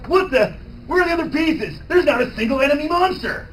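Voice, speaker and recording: A man playing a brash, excitable teenage boy adventurer, loud and goofy, in a live manga reading. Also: very high voice, fairly quick. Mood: puzzled. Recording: distant live convention recording, some noise.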